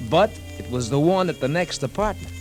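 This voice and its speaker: A man's voice: a little high, expressive, brisk, a little mellow, lightly acted. A man narrating a hard-boiled 1950s radio detective story in slangy storytelling style. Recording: 1950s radio recording with music behind.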